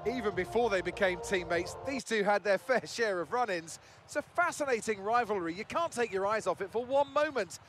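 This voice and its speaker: High-voiced motorsport pundit over music. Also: male voice, expressive, brisk, a bit bright. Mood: intrigued. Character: engaged male motorsport TV pundit. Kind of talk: broadcast punditry in game cutscene.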